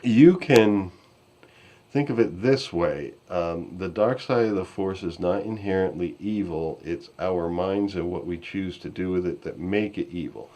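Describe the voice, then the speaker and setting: Very low-voiced, halting male podcast host. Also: mellow. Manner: halting, scattered, self-deprecating. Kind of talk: casual podcast discussion recapping a story. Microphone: online call microphone. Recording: voice call with intermittent distortion.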